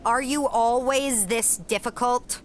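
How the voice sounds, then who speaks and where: A woman's voice: a little high, slow pace, a little hiss or hum. A woman playing a determined, feisty young girl with a blunt, youthful manner in a family audio drama. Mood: puzzled.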